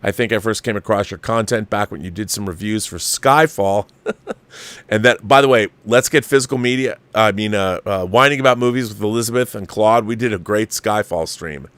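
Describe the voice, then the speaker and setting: A man's voice: on the low side, normal speed, a bit bright, no room sound. Emphatic middle-aged American man, dry close sound. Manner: emphatic, repeats phrases for emphasis. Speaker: livestream host. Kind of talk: casual opinionated movie chat, reading viewer letters aloud.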